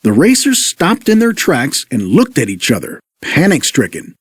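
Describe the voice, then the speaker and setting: Very animated male storybook narrator. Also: fairly high pitch, moderate pace, husky, no echo, clean studio sound. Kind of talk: scripted children's read-along narration.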